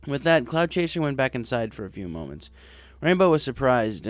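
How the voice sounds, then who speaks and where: A man's voice: mid-range voice, no room sound. A man reading a story aloud as a scripted narration, in the manner of an audiobook. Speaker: audiobook reader of fan fiction.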